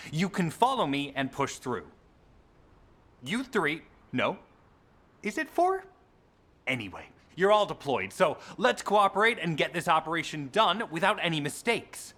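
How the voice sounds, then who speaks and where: An actor playing a cocky, sulky young soldier in a video game, haughty and condescending. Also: male voice, a little high, very animated, a little slow, sharp tone, exaggerated voice. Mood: haughty, irritated.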